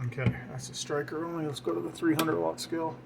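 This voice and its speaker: Man demonstrating gear over clear background noise. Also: a little high, little pitch movement, fast talker. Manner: talks to himself while working, explanatory. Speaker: radio hobbyist demonstrating equipment. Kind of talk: informal hands-on demonstration. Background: fan and equipment noise.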